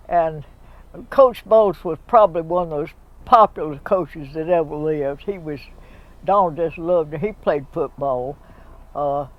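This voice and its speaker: A woman over a hundred years old, telling school memories with lively humor. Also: lowish voice, dull audio. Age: 100s. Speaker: interviewee. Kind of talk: oral history reminiscence.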